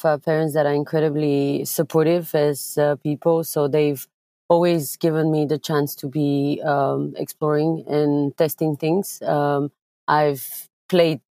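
A young woman from Bulgaria, speaking directly as a podcast guest, with frequent filler pauses. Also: low voice, dark tone, no room sound. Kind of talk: conversational interview.

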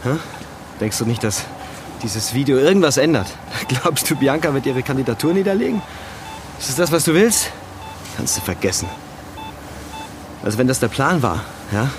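An actor in an audio drama playing an arrogant, contemptuous, well-off husband who talks in a scornful, taunting way. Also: male voice, medium pitch, sing-song, moderate pace, pauses often, lightly acted. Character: arrogant, contemptuous wealthy husband spitting insults at an old friend. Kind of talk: German audio drama acting. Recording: clear noise, outdoor urban ambience.

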